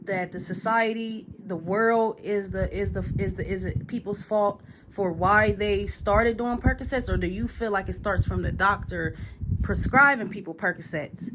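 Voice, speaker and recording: A woman's voice: medium pitch, moderate pace. A woman interviewing a guest in a casual, affirming way. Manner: affirming, brief agreements. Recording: noisy recording with clicks.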